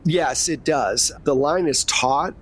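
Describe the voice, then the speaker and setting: A man's voice: a little high, takes it slowly. A man narrating a tabletop game as game master in a casual, explanatory, conversational way.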